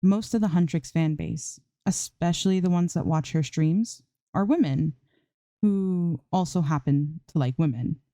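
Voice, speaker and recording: A woman's voice: low-pitched, a little slow, warm tone, dry recording, studio quality. A woman reading a story aloud as an amateur narrator, in scripted prose. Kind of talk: scripted fan fiction narration.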